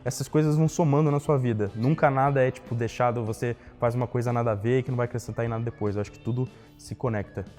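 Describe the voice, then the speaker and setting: A young man speaks at a middle pitch in a casual, reflective way, often tagging his sentences with "né". His voice trails with a long reverb, and faint music plays behind him. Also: steady tone, fast talker, few pauses, darkish tone. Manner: casual, reflective, many fillers like "né". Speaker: guest, economics graduate. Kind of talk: interview answers.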